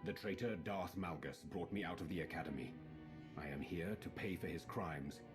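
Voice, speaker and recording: An actor playing a grave, dutiful Imperial officer in a video game, speaking formally and solemnly. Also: male voice, sits low, moderate pace, music in the background, lightly acted. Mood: grave, resolute. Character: grave, dutiful male Imperial Sith officer briefing troops. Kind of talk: cinematic video game dialogue.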